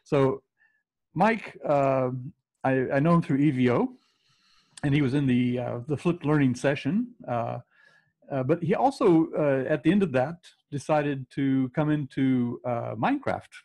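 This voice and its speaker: A man's voice: medium pitch, lively intonation, unhurried, vocal fry, a little mellow. An older man hosting a video call, rambling through introductions with many fillers. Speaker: host of a reunion call. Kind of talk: casual video call chat.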